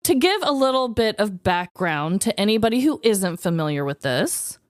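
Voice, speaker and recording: A woman co-hosts a casual chat podcast with a very lively, varied melody to her voice. Her pitch sits in a middle range and her manner is gossipy and conversational. The sound is close and dry. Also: dry recording. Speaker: podcast co-host.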